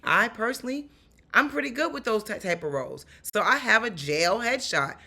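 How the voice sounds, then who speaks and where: A raspy-voiced woman with a New York accent talks straight to the camera in a casual advice vlog. Her tone is bright and upbeat, animated and down-to-earth. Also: on the high side, moderate pace. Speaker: actor and stunt performer giving career advice.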